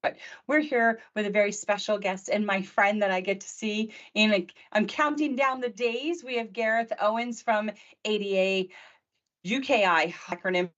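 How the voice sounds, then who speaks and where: Excitable woman hosting a video call. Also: medium pitch, normal speed, lo-fi sound. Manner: excitable, chatty, talks over guests. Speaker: host. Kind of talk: live video Q&A.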